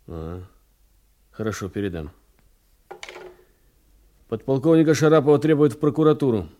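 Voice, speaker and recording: An actor playing a brisk, businesslike Soviet police detective in a radio drama, with clipped, questioning, commanding delivery. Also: male voice, mid-range voice, dark tone. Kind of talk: Russian radio drama acting.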